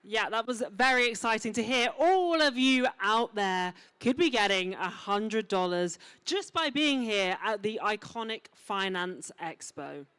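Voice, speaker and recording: A woman's voice: medium pitch, animated, a little slow, few pauses, a bit bright, clean tone, no room sound. A woman co-hosting a conference, bubbly and playfully urging the audience to stay. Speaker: co-host. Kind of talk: event hosting.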